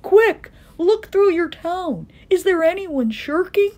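A woman's voice: medium pitch, big pitch swings, takes it slowly, dark tone. A woman voicing an earnest, loyal, big-hearted male elephant in a storybook, emphatic and pleading. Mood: urgent.

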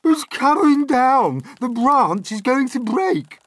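A man voicing a fat, excitable aunt in a storybook, blustering and exclaiming loudly. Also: takes it slowly, dry recording, exaggerated voice. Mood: alarmed. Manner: booming, exclaiming. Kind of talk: audiobook character voice.

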